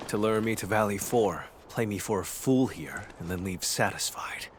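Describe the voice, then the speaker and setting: An actor voicing a brooding, determined male investigator in a video game, deliberate and grim in manner. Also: lowish voice, slight background noise, natural voice. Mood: pondering.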